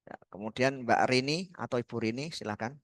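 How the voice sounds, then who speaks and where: A man's voice: mid-range voice, a little fast, no echo, studio quality. A male lecturer on a video call briefly and politely calling on students in turn. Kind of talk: running class introductions.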